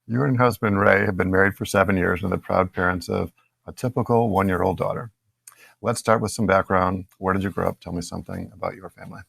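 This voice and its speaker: A man's voice: low-pitched, fairly even intonation, fast, creaky, a bit bright, husky, dry recording. A man hosting a podcast, reading a guest introduction and asking short interview questions. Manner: reads introductions, short prompts. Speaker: podcast host.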